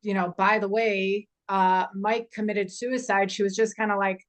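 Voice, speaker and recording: A woman talking casually in a group discussion, animated and inclined to act out people's reactions. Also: mid-range voice, normal speed. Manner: animated, acts out imagined reactions. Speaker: table read participant.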